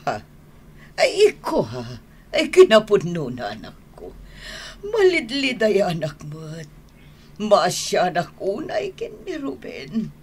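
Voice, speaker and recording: A woman's voice: medium pitch, huge pitch swings, slow, slightly rough, heavily acted. An actress playing a fussing, anxious mother in an Ilocano radio drama, exclaiming and drawing out her words, with an Ilocano accent. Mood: concerned, fussing.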